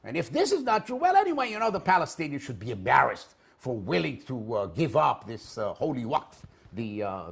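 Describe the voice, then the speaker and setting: Husky Israeli man telling stories animatedly. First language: Hebrew. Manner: lively storytelling, big swings in pitch and loudness, laughs at own jokes. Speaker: guest speaker. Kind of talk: animated public talk with anecdotes. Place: event hall in front of an audience.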